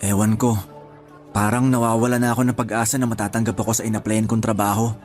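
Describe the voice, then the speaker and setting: A man acting as a discouraged, bashful young boyfriend in a radio drama, with a hesitant, soft-hearted manner. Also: lowish voice, little pitch movement, fast talker, faint music behind, close to an everyday voice. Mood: dejected. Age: young adult. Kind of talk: Tagalog radio drama dialogue.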